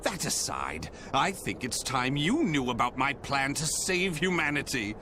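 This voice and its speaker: An actor playing an eccentric, fanatical scientist in a video game, pompous and gloating, with grandiose theatrical delivery. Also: male voice, mid-range voice, very animated, measured pace, noisy background. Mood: sly, musing. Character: eccentric fanatical male scientist, grandiose, obsessed with saving humanity. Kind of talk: dramatic video game character acting. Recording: loud music behind the voice. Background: loud music.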